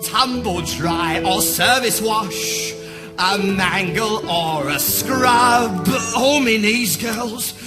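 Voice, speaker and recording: A man's voice: high-pitched, drawn-out words, loud music behind the voice, voice pushed up. A British man playing a cheeky old washerwoman dame in a comic pantomime song. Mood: cheeky, jolly. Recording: loud musical backing.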